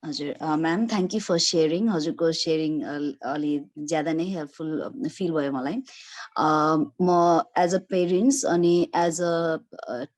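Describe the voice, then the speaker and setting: A woman with a Nepali accent asking a question as an audience member, polite and halting. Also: low voice, steady tone. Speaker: audience questioner, parent and teacher.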